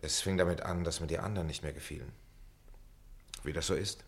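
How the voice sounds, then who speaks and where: A man's voice: deep voice, fairly even intonation, fairly quick, creaky. A man acting a bitter, self-weary middle-aged former pupil in a radio play, with a dry, sardonic, brooding delivery. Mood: wry, weary.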